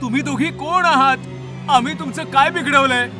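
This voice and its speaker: Anxious young husband played by a man, horror story, high-pitched, pleading urgency over music. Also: normal speed. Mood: frightened, pleading.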